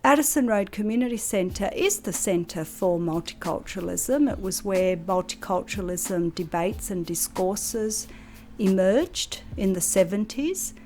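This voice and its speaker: A woman speaks slowly and carefully for a community centre, pausing on fillers. Her manner is measured. Faint music plays softly behind her. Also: mid-range voice. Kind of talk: interview talk.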